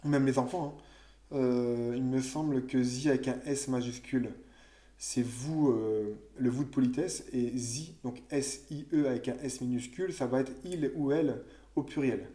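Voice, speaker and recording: A man with a mellow, relaxed voice of ordinary pitch explains grammar to young pupils. He speaks casually, musing aloud, with small restarts and self-corrections. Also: moderate pace. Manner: conversational, thinks aloud with fillers and self-corrections. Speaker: teacher. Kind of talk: explaining French grammar to children.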